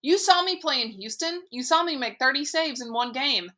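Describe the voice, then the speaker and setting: A woman voicing a proud, stubborn middle-school boy goalie who protests indignantly and rises to shouting. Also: a little high, lively intonation, normal speed. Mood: accusing. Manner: indignant, pleading, rising to shouts.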